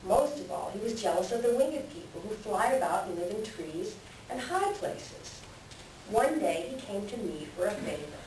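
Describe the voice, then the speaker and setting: A woman voicing a wise, patient male eagle elder in a readers theater, measured in the way a storyteller reasons. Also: medium pitch, sing-song, darkish tone. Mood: storytelling, earnest. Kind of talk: readers theater stage reading. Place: library room, recorded from a distance. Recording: very echoey library room, distant, hissy.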